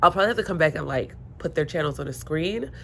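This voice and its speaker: A woman's voice: low voice, lively intonation, quick pace, creaky, a little hiss or hum. A woman vlogging casually at home, chatty and rambling, stuffy and hungover, talking into her phone. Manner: chatty, rambling, self-mocking. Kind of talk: casual chat to camera. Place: home room by windows. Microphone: phone held or propped.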